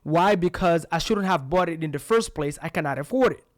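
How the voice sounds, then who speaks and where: High-voiced punchy man from Belgium. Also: animated, brisk, no room sound, clean studio sound. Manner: emphatic, punchy, rhetorical questions. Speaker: podcast co-host. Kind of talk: real estate coaching talk.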